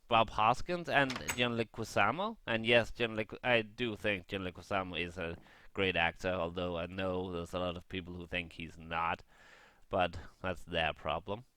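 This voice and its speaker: A man's voice: on the low side, sharp tone, slight background noise. A man giving casual gameplay commentary, rambling and thinking aloud as he shares blunt opinions. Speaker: gaming vlogger.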